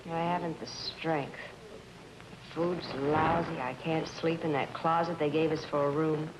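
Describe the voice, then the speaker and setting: An actress playing a bitter, world-weary wife who complains in a sarcastic, slangy way, in a period drama. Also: female voice, deep voice, fairly even intonation, measured pace. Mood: weary, complaining. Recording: faint noise on old broadcast.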